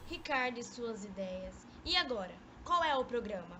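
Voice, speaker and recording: Radio drama: scornful, elegant young Brazilian woman, acted by a woman, high-pitched, exasperated, noisy amateur recording. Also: lively intonation, moderate pace, bright, forceful tone. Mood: mocking, amused. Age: young adult. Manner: mocking, exasperated. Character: elegant, scornful young woman mocking her former lover.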